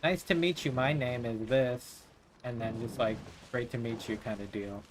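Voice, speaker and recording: A man's voice: mid-range voice, fairly even intonation, normal speed, background noise. A man livestreaming a video game, talking in a casual, rambling way. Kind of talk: casual rambling stream chat. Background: game music.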